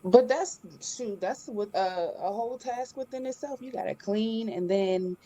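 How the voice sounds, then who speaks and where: A woman's voice: fairly high pitch, measured pace, dark tone, slight background noise. A woman co-hosting a casual live spiritual chat, laid-back and slangy in how she talks.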